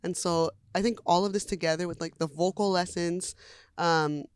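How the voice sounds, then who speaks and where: A woman's voice: fairly low pitch, steady tone, normal speed, clear, resonant tone, no room sound. A young adult woman, a singer, talking casually in an interview, chatty with lots of "like" fillers and easy laughter.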